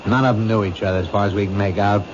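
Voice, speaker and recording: An actor playing a world-weary police captain in a 1970s radio drama, brusque, cynical and matter-of-fact. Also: male voice, on the low side, fairly even intonation, racing, natural voice. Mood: dismissive. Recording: muffled, noisy old radio broadcast.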